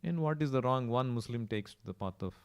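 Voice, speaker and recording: A man's voice: medium pitch, lively intonation, fairly quick, clean tone, close to an everyday voice. A man voicing a humble but steadfast saintly devotee who speaks respectfully and earnestly in a told religious story. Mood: humble, reasoning. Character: humble, steadfast saintly male devotee pleading his case.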